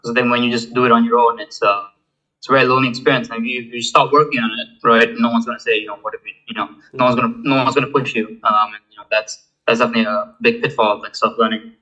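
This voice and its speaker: A young man in his twenties from Malaysia, a guest in a casual interview, talking in a halting way with many fillers and restarts. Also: medium pitch, fairly even intonation, sharp tone, poor audio. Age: 20s. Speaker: guest, student founder.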